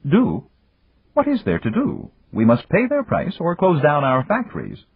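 A man voicing an older Japanese industrialist who is round, calm and philosophical, speaking in a measured, courteous, gently lecturing way. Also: a little high, husky, pitched above the performer's own voice, exaggerated voice. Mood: measured, amiable. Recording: tinny, phone-like lo-fi.

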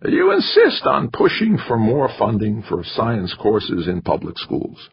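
A wiry, edgy campaign manager, put on by a male narrator, with a thin, scratchy voice pitched well above the narrator's own. He pushes and insists, his tone leaping around as he argues. The audiobook recording sounds dull and lo-fi. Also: wildly swooping pitch, measured pace, gravelly, husky, heavily acted. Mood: insistent. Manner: pushy, urgent persuading.

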